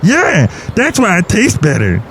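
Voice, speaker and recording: A high, gravelly brewmaster voice that swoops up and down, as a man puts it on. He is boastful and scatterbrained and rambles on obliviously. Faint outdoor noise sits behind him. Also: wildly swooping pitch, measured pace, fairly bright tone, heavily acted, rough recording. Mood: goofy.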